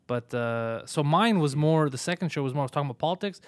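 Fast-talking man, dry close sound. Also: on the high side, animated, clean tone, no room sound. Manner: fast, swearing, storytelling. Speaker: comedian. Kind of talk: casual podcast chat.

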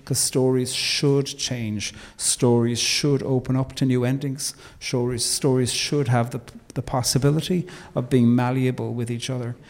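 Irish man, interview guest, measured and reflective with emphatic moments; mid pitch, long reverb. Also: little pitch movement, darkish tone. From Ireland. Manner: measured and thoughtful, with emphatic turns. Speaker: guest, theologian and poet.

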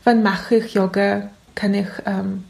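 A woman's voice: medium pitch, flat delivery, a little slow, creaky, darkish tone, low-quality recording. A woman who is not a native German speaker, with a foreign accent, giving reflective interview answers in careful, searching phrases. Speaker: interview guest.

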